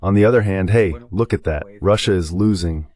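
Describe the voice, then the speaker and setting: A man's voice: sits low, brisk, a little mellow. A man voicing informal, sardonic political commentary as a translated guest.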